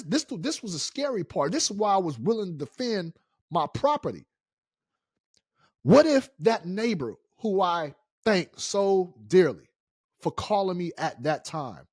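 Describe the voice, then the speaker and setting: A middle-aged American man hosting a casual story time, speaking in an animated, indignant and emphatic way. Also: sits high, no echo, clean studio sound. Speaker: story-time show host.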